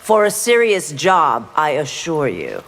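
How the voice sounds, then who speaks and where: Stern lawwoman with lively pitch. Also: measured pace, full character voice. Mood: suspicious. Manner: stern, authoritative, guarded. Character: Li Mei, stern proud female chief constable. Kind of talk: fighting game character acting. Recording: long hall reverb, faint music.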